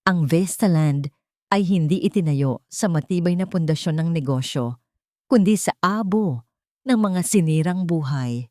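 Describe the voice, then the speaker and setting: A woman with a Filipino accent playing a wronged wife turned cold corporate queen in an audio drama, speaking firmly and deliberately. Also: on the low side, a little mellow, no room sound, studio quality, lightly acted. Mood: commanding, cold. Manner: firm, authoritative, deliberate.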